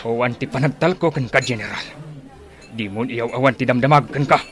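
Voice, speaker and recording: A man's voice: medium pitch, a little fast, fairly bright tone, soft background music, natural voice. A man acting in an Ilocano radio drama as a wary, defiant gunman, speaking dramatically as if to himself. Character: wary male gunman, defiant rival of a general.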